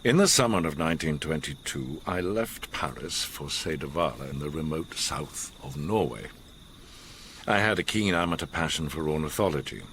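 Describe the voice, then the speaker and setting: An actor playing an older, learned gentleman who recounts his past gravely, with measured, theatrical pauses, in a radio drama. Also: rumbling low, slight background noise, full character voice. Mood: measured, confiding.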